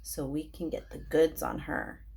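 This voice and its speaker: A woman's voice: low-pitched, flat delivery, unhurried, darkish tone, slight background noise. A woman voicing an earnest, plotting schoolboy amateur detective in a children's storybook.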